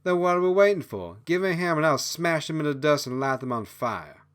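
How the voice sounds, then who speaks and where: Man doing a loud, brash raccoon girl. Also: a little high, lively intonation, a little fast, clear, resonant tone, pitched above the performer's own voice. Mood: fired-up. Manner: impulsive, loud.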